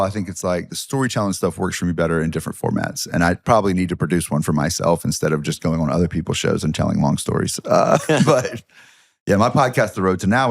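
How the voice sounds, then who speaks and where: A man's voice: sits low, vocal fry, no echo, studio quality. A middle-aged man with a Southern US accent, chatting as a podcast guest, telling self-deprecating stories with lots of restarts. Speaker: guest, history professor and comedian. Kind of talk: casual podcast chat.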